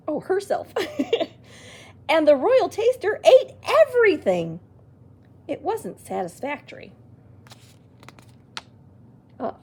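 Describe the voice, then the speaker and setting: Female library storyteller, unhurried picture-book read with lots of swoops and small reacting asides. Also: high voice. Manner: expressive, exclaiming little reactions. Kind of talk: reading a picture book aloud to children with asides.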